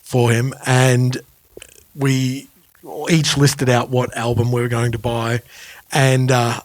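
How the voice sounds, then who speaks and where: Guy on a music podcast, casual chatty, mid pitch voice, really dry sound. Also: level tone, takes it slowly, dry recording. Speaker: podcast co-host.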